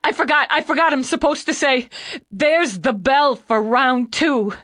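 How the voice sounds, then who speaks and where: A man's voice: very high-pitched, normal speed, bright, forceful tone, voice pushed up. A woman voicing a skinny young man in his late twenties, crying and breathless, his words tumbling over each other. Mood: sobbing panic. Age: late 20s.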